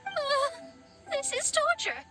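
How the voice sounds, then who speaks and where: A woman's voice: very high voice, slow pace, over music. A woman voicing a proud, theatrical young female astrologer in an anime-style video game. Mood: dramatic despair. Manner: haughty, theatrical. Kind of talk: anime game character acting.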